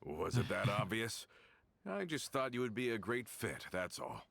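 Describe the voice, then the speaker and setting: A man's voice: lowish voice, big pitch swings, moderate pace, gravelly, fairly bright tone, no room sound, exaggerated voice. An actor playing an older, loyal male worker in a game, earnest and nostalgic. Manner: reminiscing, earnest. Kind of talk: game voice acting.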